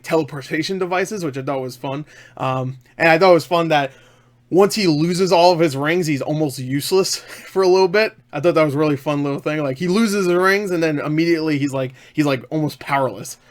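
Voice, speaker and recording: Guy with a slightly high voice doing an off the cuff movie review, excited, rambly, leans hard on words. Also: brisk. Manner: enthusiastic, emphatic, rambling, repeats himself. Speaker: film reviewer. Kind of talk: casual, unscripted review chat.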